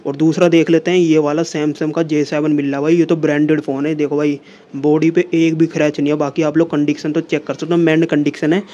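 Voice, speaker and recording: A young man talks straight into a phone held close, chatting casually as a vlogger and leaning on the same filler words again and again. His voice sits a little high but stays flat and mellow. A faint hiss of the room runs under it. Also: a little fast, muffled recording. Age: young adult. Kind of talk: casual vlog talk to camera. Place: small room indoors, then a seller's home.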